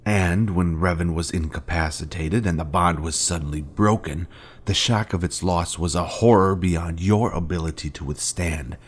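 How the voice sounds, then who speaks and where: A very deep male voice carries an actor's performance of a grave fallen-order Jedi mentor. He is solemn and deliberate, posing weighty rhetorical questions in the scripted style of a dramatic video game. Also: breathy edge. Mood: grim, explaining. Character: grave former Jedi Master confronting his fallen Padawan. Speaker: game character.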